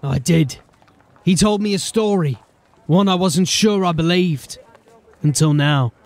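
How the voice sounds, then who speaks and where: A man's voice: on the high side, a little slow, dry recording. A man playing a grim, determined city watch officer in a fantasy audio drama, speaking in a terse, commanding way.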